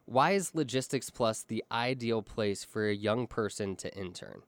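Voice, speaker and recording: A man interviewing employees, asking smooth prepared questions. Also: lowish voice, expressive, moderate pace, dry recording.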